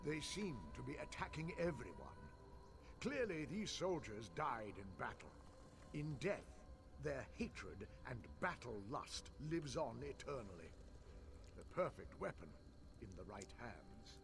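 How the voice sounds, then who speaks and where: Scholarly mage, swooping intonation. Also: male voice, medium pitch, sing-song, unhurried, vocal fry, background noise. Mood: speculating. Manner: pedantic, musing, condescending. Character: scholarly elf mage, fascinated by spirits, impatient. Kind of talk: video game character acting.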